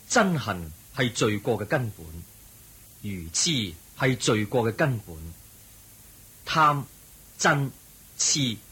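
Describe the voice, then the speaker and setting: An actor playing the Buddha, a serene sage who preaches in a slow, measured, solemn way in a radio drama. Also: male voice, mid-range voice, bright, forceful tone, breathy edge, exaggerated voice, rough recording. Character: the Buddha, serene male sage teaching a crowd. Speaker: religious teacher in a radio drama. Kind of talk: Cantonese radio drama acting.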